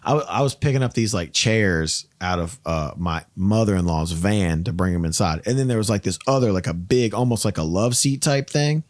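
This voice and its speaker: A man in his late thirties hosting a casual podcast chat, swearing freely and telling animated stories. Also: fairly low pitch, moderate pace, hardly any pauses, no echo, clean studio sound. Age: 30s. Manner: profane, animated storytelling. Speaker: podcast host.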